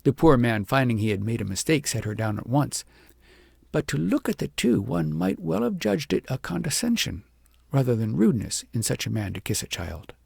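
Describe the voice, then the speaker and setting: A man reading a children's story aloud as a scripted, expressive audiobook narrator. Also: medium pitch, normal speed, gravelly, slightly rough, dry recording, studio quality.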